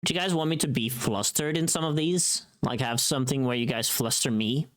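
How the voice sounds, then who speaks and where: A streamer chatting casually and playfully on a livestream, thinking aloud in an expressive way. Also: medium pitch, a little fast. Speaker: livestreamer and voice actor making a voice pack.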